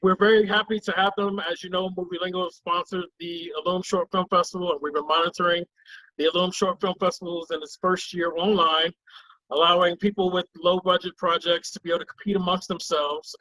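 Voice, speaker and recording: A man's voice: high-pitched, pushed and bright, low-quality recording. A man hosting an interview show, opening with upbeat introductions. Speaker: host.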